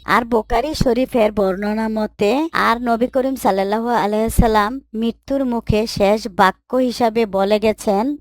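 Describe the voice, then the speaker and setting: A kind, pious mother in a moral cartoon, voiced by a woman. Her voice is fairly high and closely recorded, and she corrects and teaches gently. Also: no room sound. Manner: gently admonishing, instructive. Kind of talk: Islamic moral cartoon voice acting.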